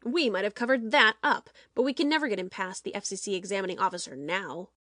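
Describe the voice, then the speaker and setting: A woman playing a domineering, cold-blooded TV producer in a dramatic audiobook, speaking in a forceful, pointed way. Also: medium pitch, fast talker, no room sound, clean studio sound, close to an everyday voice. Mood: cold, dark. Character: hard, calculating TV show producer, domineering.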